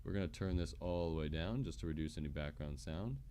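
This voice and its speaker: A man with a naturally deep voice, rambling and often interrupting himself as he casually demonstrates gear on a livestream. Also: fairly quick, warm tone, a little hiss or hum.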